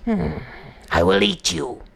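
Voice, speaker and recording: A woman voicing a huge, hungry male tiger for a story, sly and menacing, with snarling, drawn-out speech. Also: very deep voice, wildly swooping pitch, creaky, crowd noise, pitched below the performer's own voice, full character voice.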